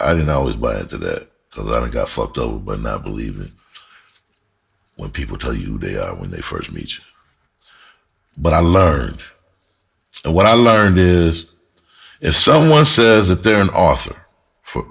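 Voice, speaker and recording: A middle-aged American man with a husky voice tells his own story casually, speaking bluntly in emphatic, profanity-laced street slang. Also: rumbling low, big pitch swings, moderate pace, long pauses. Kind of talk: casual first-person storytelling to camera.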